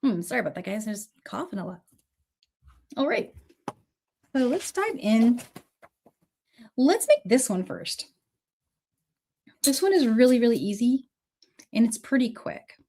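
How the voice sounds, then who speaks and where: A woman in her thirties or forties hosts a relaxed livestream beading class, her slightly high voice touched by a cold and the odd cough. She talks in a chatty, friendly way, thanking viewers by name as she works. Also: measured pace, long pauses, breathy edge. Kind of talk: casual livestream tutorial.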